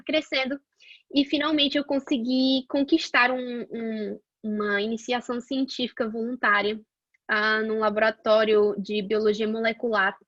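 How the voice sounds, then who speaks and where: A woman's voice: fairly high pitch, no echo, lo-fi sound. A young woman from Portugal telling her own career story in a casual, chatty way, with many fillers and restarts. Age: young adult. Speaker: guest scientist sharing her career path.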